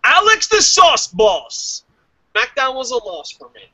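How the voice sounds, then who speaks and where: A man's voice: very high-pitched, unhurried, vocal fry, dry recording. A man hosting a casual wrestling review show, joking and swearing freely as he reads out listener comments. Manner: profane, joking, animated.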